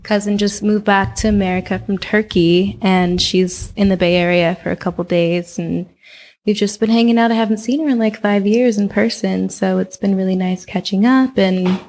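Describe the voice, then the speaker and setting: Young woman from Oakland, low gravelly voice, relaxed chatty interview guest. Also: normal speed. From Oakland, California. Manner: relaxed, chatty, with frequent fillers. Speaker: podcast guest. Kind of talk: casual interview chat.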